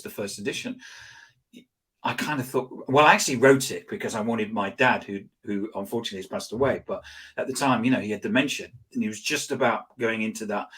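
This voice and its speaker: A man being interviewed as a guest expert, telling anecdotes in a rambling, animated way with lots of restarts and fillers. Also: mid-range voice, husky. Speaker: guest, social selling expert. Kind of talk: conversational interview storytelling.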